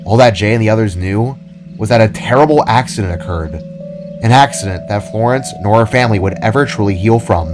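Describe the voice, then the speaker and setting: A man's voice: fairly low pitch, brisk. A man reading scripted fiction as a storyteller. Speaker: storyteller, narrator. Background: music.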